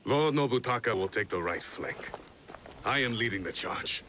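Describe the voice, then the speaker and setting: An actor playing a commanding Japanese warlord who rallies his army with drawn-out, declamatory battle cries in a video game cinematic. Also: mid-range voice, lively intonation, sharp tone, a little hiss or hum. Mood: stern, measured. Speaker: military commander.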